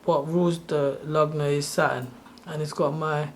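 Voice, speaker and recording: Soft-spoken guy in his 30s, kinda rambly, keeps correcting himself, pretty monotone, slightly high voice. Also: normal speed, slight background noise. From Sri Lanka. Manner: rambling, loose and self-correcting. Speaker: channel host. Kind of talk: casual screen-share walkthrough.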